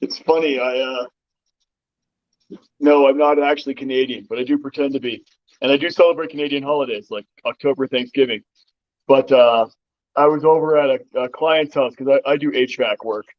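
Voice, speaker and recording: A man's voice: medium pitch, fairly even intonation, brisk, no echo, lo-fi sound. A man hosting a casual chat, jokey and rambling, often laughing. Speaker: streamer, host of a chat.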